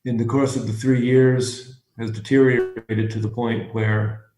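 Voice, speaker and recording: A man chairing a public review hearing, speaking haltingly with many fillers and restarts. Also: mid-range voice, little pitch movement. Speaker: commission chair. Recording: echoey room sound.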